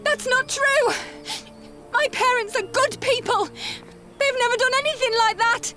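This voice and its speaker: A woman's voice: very high voice, unhurried, music in the background. A woman voicing an innocent, inquisitive little girl in a video game, earnest and childlike. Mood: upset, protesting. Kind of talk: dramatic video game voice acting.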